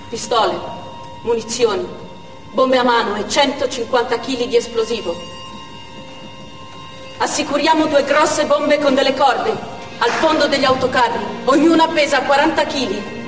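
A woman's voice: high voice, unhurried, pauses often. An actress playing a devout, fearful Chechen woman militant, narrating in a theatrical stage monologue. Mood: grim, resolute. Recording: echoey theatre hall, distant, faint music.

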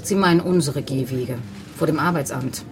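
A woman acting as a weary female clerk at a job centre in a radio play. Her voice is low, her manner curt, sceptical and officious, with faint ambience behind. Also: moderate pace, slight background noise, lightly acted.

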